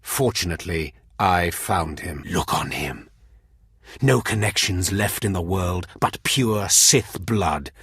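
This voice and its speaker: An actor playing a cruel, contemptuous Sith overseer in a video game, sneering and commanding with a husky, menacing voice. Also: male voice, low-pitched, slow pace, fairly bright tone, no room sound, studio quality, full character voice. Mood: haughty, contemptuous. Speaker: villainous trial master in a video game. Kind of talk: scripted game voice acting.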